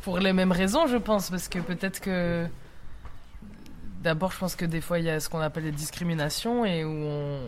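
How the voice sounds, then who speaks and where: A woman's voice: on the low side, steady tone, moderate pace, a little hiss or hum. A woman giving her opinion hesitantly, as an interviewee would. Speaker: street interviewee.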